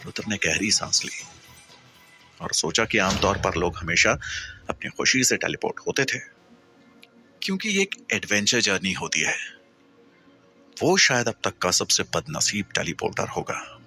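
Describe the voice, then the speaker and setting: A man's voice: deep voice, sing-song, a little slow, pauses often, sharp tone, soft background music. A man narrating a scripted audio story in a dramatic, suspenseful, emphatic way.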